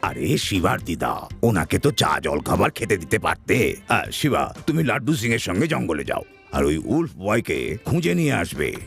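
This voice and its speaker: A man voicing an older grandfather in a cartoon, gravelly and fussy but doting, with music underneath. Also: low-pitched, sing-song, normal speed, fairly bright tone, exaggerated voice. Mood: fussing. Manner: scolding, then encouraging. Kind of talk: Bengali cartoon dubbing.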